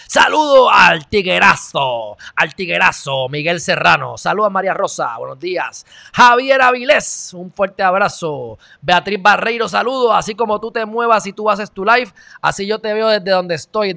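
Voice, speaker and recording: Animated Puerto Rican male host, bright tense tone, close-miked, chatty delivery. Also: high voice, gravelly. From Puerto Rico. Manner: animated, gestures widely, shifts loud and quiet. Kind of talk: live stream chat, reading viewer comments. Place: small indoor studio. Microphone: studio mic on stand, close.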